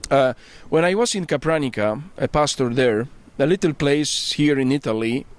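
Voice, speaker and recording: A man's voice: mid-range voice, moderate pace, smooth. A middle-aged man from Poland with a Polish accent, a priest answering interview questions earnestly, searching for his English words, recorded outdoors on a rooftop. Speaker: priest and bishop-elect, interviewee. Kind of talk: casual interview.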